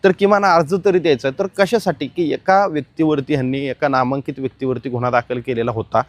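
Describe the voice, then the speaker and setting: A man's voice: medium pitch, steady tone, fast talker, dry recording. A man presenting explanatory crime news commentary, emphatic and speaking straight to the audience.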